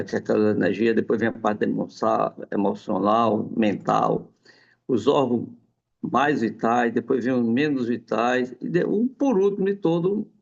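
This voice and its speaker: A man's voice: mid-range voice, vocal fry, darkish tone. A man explaining a health topic in a casual, rambling chat, often adding a questioning "right?". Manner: rambling, many tag questions. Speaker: guest explaining homeopathy. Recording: dull lo-fi sound.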